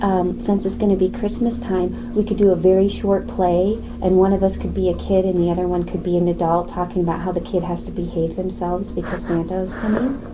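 A woman's voice: fairly low pitch, flat delivery, brisk, few pauses. Tentative woman full of ums. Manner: tentative, full of ums, asks others' views. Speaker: language learner. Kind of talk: casual group planning. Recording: clear background noise.

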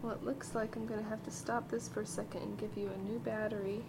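A woman in her twenties from Alaska, a counselor leading interviews, casual and quick to laugh. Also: medium pitch, flat delivery. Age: 20s. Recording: noisy room recording.

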